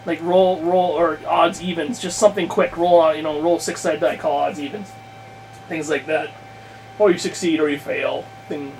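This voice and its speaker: A man with a notably high-pitched voice talks off the cuff in a casual ramble. He is halting and corrects himself as he thinks aloud, with a crude streak. The recording has clear background noise. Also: fairly even intonation, a little mellow. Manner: crude, freely swearing. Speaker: podcast guest host, game master. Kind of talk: casual rambling chat about a tabletop game plan. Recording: clearly noisy room recording.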